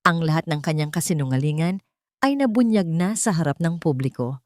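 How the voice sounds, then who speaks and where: Low-voiced woman narrating a dramatic story. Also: expressive, fairly quick, darkish tone, no echo, clean studio sound. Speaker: audiobook narrator.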